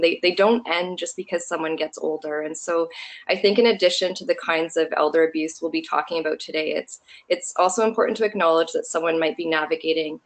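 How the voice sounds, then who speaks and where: A soft-spoken woman giving an earnest, thoughtful webinar presentation as a human rights educator over a video call. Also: fairly low pitch. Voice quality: quiet voice.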